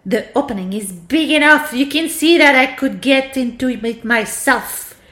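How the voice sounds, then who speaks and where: A woman voicing a wicked, scornful old witch in a storybook reading, with a loud, drawn-out delivery. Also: high voice. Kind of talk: fairy tale storybook reading. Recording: echoey room.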